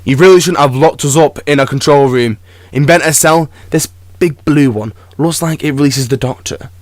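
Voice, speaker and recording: A man's voice: on the high side, normal speed, dry recording, studio quality, lightly acted. A man acting as a brave, earnest young human companion in a fan audio drama. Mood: defiant.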